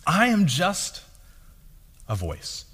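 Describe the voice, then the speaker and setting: A young man with a deep voice delivers a sermon in a relaxed, conversational tone, poking fun at himself. His pitch moves a lot from line to line. The sound carries the long reverb of a large hall. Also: extremely slow, slightly rough. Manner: lively, conversational, self-deprecating storytelling. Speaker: pastor.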